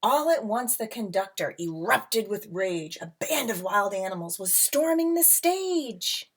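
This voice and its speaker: A woman reading a picture book aloud to children as a teacher, with dramatic emphasis, drawn-out words and casual asides. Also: mid-range voice, big pitch swings, breathy edge. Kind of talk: expressive storybook read-aloud with casual asides. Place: at home.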